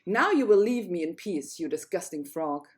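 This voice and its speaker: A woman's voice: lowish voice, lively intonation, normal speed, close to an everyday voice. A woman voicing a spoiled young fairy-tale princess, dismissive and easily disgusted. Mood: angry.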